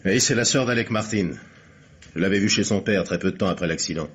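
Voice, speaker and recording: An actor playing a brisk, confident, reassuring policeman in an old-fashioned French radio mystery. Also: sits low, fairly bright tone. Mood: matter-of-fact. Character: brisk, confident male policeman guarding a child. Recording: dull old radio recording.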